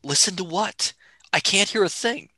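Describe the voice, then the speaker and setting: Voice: medium pitch, expressive, moderate pace, sharp tone, husky, no room sound. A performer playing a cheeky, impatient young male adventurer in a radio play, breezy and argumentative. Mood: impatient, curious. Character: cheeky, impatient young man, time-travelling companion.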